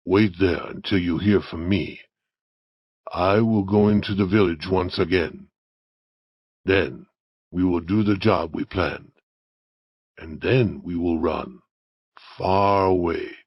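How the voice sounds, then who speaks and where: Man acting low, stern scheming old outlaw. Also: steady tone, slow, long pauses, breathy edge, dry recording, dull audio, full character voice. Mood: commanding.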